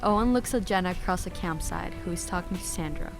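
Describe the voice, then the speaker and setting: A woman's voice: on the low side, fast talker, over music. A woman reading scripted narration for an audio drama.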